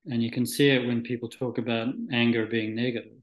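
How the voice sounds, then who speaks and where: Male interview guest, from Scotland and New Zealand, medium pitch, thoughtful and halting, recorded in an echoey room. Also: flat delivery. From Scotland, moved to New Zealand at nine. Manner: halting, thoughtful, searching for words. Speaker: interview guest, spiritual practitioner. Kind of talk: reflective, conversational interview answers.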